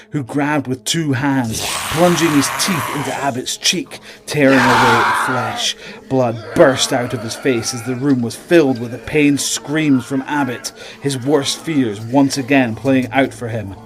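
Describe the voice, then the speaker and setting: A man's voice: mid-range voice, background noise. A man giving dramatic, scripted narration as the storyteller of a horror audio drama. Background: dramatic music.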